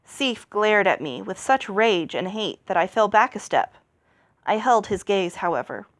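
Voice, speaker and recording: A woman's voice: mid-range voice, big pitch swings, measured pace, dry recording. A woman reading a fantasy novel aloud as an amateur narrator, expressively telling the story and its dialogue. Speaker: amateur audiobook reader.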